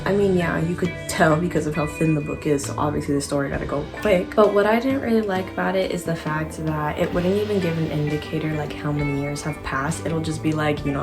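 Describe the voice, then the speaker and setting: A young woman chatting casually about books as a vlogger, with an informal, slangy way of talking. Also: deep voice. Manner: informal, youthful slang and asides. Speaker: book vlogger. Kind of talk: casual chatty book review to camera. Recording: voice over clearly audible background music.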